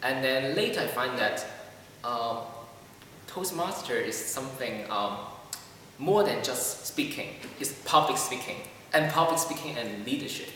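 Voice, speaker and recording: A man speaking English as a second language, answering interview questions with many pauses, fillers and restarts. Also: mid-range voice, expressive, fairly bright tone, slight background noise. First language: not English. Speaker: club member. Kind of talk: casual interview. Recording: echoey hall from far off.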